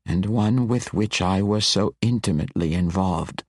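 A man voicing a despondent male dark elf wizard who speaks slowly and mournfully. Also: low-pitched, a little hiss or hum. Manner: slow, mournful, hushed.

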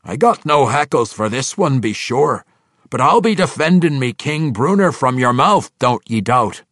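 Old dwarf soldier, gruff brogue, raised and loud, accusing; dry audiobook. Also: male voice, medium pitch, very animated, unhurried, no echo, voice pushed up, heavily acted. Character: grizzled old male dwarf veteran, suspicious.

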